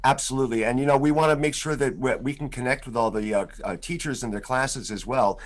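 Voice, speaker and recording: A man's voice: medium pitch, fast talker. A man hosting a live online music show for schoolchildren, chatty and friendly, with many fillers, over a video call. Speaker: host.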